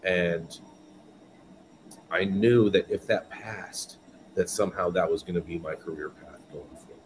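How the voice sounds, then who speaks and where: A man's voice: sits low, steady tone, measured pace, a little hiss or hum. A man from California, a guest on a podcast interview, telling his story earnestly and repeating words as he goes. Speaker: guest, business owner.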